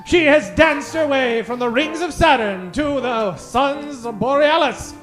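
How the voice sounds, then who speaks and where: A man's voice: very high-pitched, measured pace, music in the background. A man hosting a radio theatre show, delivering theatrical scripted introductions in a grandiose, mock-dramatic, declamatory old-time announcer style.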